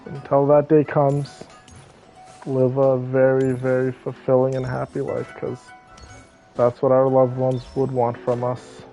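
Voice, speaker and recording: A man chatting casually on a livestream, speaking gently and affectionately to his viewers. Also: mid-range voice, completely flat delivery, measured pace, poor audio. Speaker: streamer. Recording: game music faintly behind voice. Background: game music.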